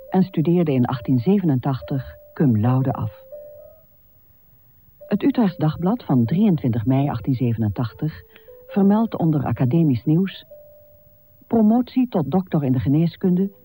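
A man narrating a radio play from a script in a measured, formal manner. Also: a little high, animated, dark tone, faint music behind.

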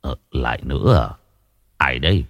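A man voicing a calm, wise old village man who speaks slowly and gently. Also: very deep voice, no echo, lo-fi sound, voice pushed down. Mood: weary. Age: older. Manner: slow, gentle and measured.

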